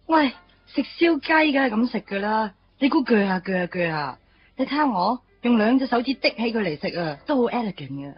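A woman's voice: on the high side, big pitch swings, brisk, dull audio, soft background music. An actress playing an outspoken, playful young woman in a Cantonese radio drama, chatty and chiding, with a Hong Kong accent.

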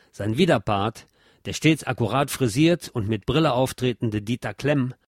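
A man's voice: mid-range voice, dry recording. Guy reading a scripted music history piece, careful lecturing delivery, close dry studio sound. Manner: measured, articulate lecturing delivery. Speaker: presenter of a music history programme. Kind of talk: scripted documentary narration.

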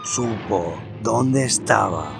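A man reading a horror story aloud in a slow, theatrical way, then presenting notes on film music. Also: fairly low pitch, animated, gravelly. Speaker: narrator and podcast host. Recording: narration over loud music.

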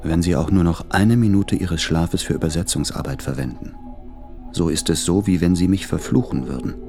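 A brooding man of letters in a radio play, with an extremely low, gravel-edged voice. He speaks in measured, reflective phrases, tender and anxious underneath. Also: mellow, faint music behind. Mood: protective, earnest. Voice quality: very deep, gravelly voice. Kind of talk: German radio play, reading letters aloud.

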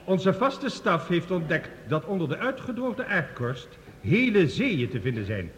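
In a reverberant hall, an earnest male researcher reporting to a council. His pitch is a little high, with lively movement. He explains formally and in measured phrases, as in a radio play. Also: a little hiss or hum, heavily acted. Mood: grave, explanatory. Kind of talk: Dutch radio play acting.

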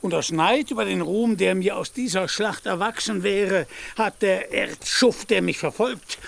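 A man's voice: sits high, unhurried, creaky, fairly bright tone, exaggerated voice. A man in a German radio play, playing a deluded, proud knight-errant with grandiloquent, archaic, declamatory speech. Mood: aggrieved.

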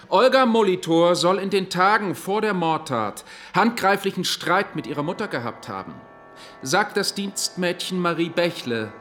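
Male lawyer for radio play: higher voice, forceful, pointed formal rhetoric, music underneath. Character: stern male lawyer in a courtroom, accusatory and forceful. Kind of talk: German radio play acting.

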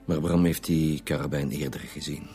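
An actor playing a steady, down-to-earth senior Flemish detective in a radio drama, with a matter-of-fact, authoritative way of talking. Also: male voice, a bass voice, moderate pace. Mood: official, firm. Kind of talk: naturalistic radio drama acting. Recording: music behind the voice.

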